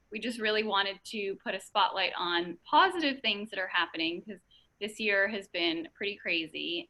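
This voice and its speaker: Bright, chatty young woman host. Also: mid-range voice, rough recording. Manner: chatty, upbeat, many fillers. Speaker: interview host. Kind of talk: casual video-call interview.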